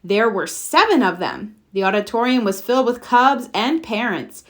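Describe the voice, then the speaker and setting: A woman giving an expressive read-aloud narration of a children's storybook. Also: on the high side, normal speed.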